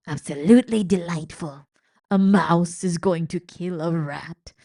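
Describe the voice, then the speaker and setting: A cruel old dungeon rat who delights in others' misery, loud and sneering with a cackling edge. A woman reading aloud acts him out. He booms his jeers in a slow, drawn-out storybook voice. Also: gravelly. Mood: gleeful mocking. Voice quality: booming and mocking, with cackling laughs. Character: gleeful cruel male rat, loud, mocking.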